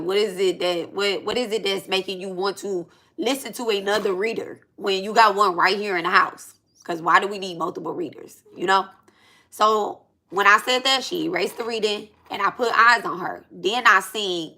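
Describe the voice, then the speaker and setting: A woman in her thirties with a bright, forceful voice at a medium pitch. She talks casually, like someone venting on a personal vlog. Her delivery is blunt and emphatic, with repeated phrases and casual swearing. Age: 30s. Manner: blunt, emphatic, repetitive, profane. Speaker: spiritual reader and channel host.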